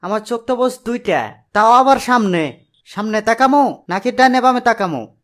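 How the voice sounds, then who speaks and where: A man's voice: very high voice, lively intonation, normal speed, fairly bright tone. A man voicing a dim, cheeky getaway driver in a cartoon, talking back in colloquial Bangladeshi Bengali. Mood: cheeky complaining. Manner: literal-minded, back-talking. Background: music.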